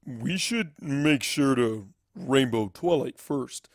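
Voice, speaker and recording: A man's voice: mid-range voice, lively intonation, slow, vocal fry, no echo, clean studio sound. A man voicing a spry, jovial old unicorn wizard with a grandiose way of talking. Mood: mischievous, matter-of-fact.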